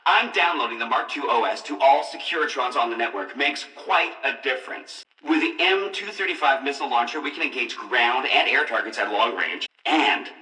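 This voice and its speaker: Echoing, gleefully boastful robot. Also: male voice, medium pitch, animated, fairly quick, hardly any pauses, sharp tone. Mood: showy, proud. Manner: salesman-like patter with exuberant exclamations. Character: gleeful, boastful combat robot showing off its weapons. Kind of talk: scripted video game demonstration. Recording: roomy echo, distant from the mic.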